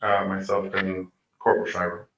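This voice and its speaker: A man's voice: deep voice, monotone, normal speed, creaky, fairly bright tone, a little hiss or hum. A man, a police detective, giving formal, clipped and somewhat hesitant testimony as a courtroom witness. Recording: echoey distant courtroom playback.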